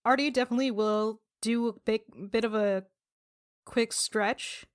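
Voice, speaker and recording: Higher-voiced woman playing tabletop game. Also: steady tone, a little slow, clear, resonant tone. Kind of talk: casual game chat.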